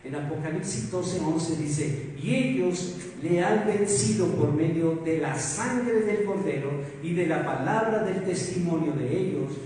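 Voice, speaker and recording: Mexican preacher, male, warm higher voice, emphatic sermon with rhetorical questions; distant mic, long echo. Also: measured pace, hardly any pauses, a little hiss or hum. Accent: Mexican Spanish. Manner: rhetorical questions, emphatic swings between loud and soft. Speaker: pastor. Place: church hall. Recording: echoey hall, mic far off.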